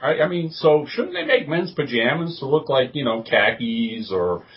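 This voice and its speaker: A man's voice: medium pitch, big pitch swings, normal speed. A man co-hosting a podcast in casual group chat, talking in a playful, wry way. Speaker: podcast co-host. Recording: muffled lo-fi, off the mic.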